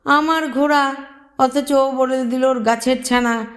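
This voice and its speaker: Woman acting a plaintive, high-voiced wronged merchant. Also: fairly even intonation, slow pace. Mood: sorrowful. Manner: indignant, plaintive complaining. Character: wronged, plaintive male merchant in a folk tale.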